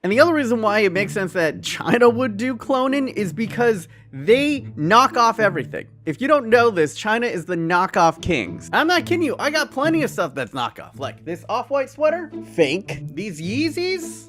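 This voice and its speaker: A man hosting a gaming video, giving casual, excitable commentary with lots of jokes and self-mockery. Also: high voice, moderate pace, few pauses, soft background music. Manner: excitable, jokey, self-mocking.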